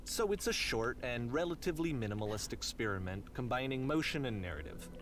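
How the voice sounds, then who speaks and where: An actor playing a thoughtful male narrator in a video game, calmly explaining and musing, at times unsure. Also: mid-range voice, brisk, a little hiss or hum, natural voice. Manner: reflective, explaining, sometimes unsure. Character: thoughtful adult male narrator guiding the player.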